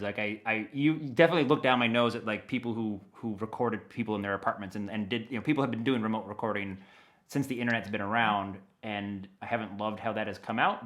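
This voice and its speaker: A man's voice: fairly low pitch, a bit bright. A middle-aged American man talking casually as an interview guest, explaining things in a rambling, think-aloud way. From Maine, USA. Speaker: interview guest, audio dramatist.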